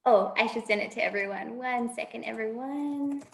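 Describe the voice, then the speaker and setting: Brief: a chatty, cheerful woman with a higher voice on a roomy video call. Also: normal speed, vocal fry, slight background noise. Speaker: student services staff member. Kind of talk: casual online presentation wrap-up.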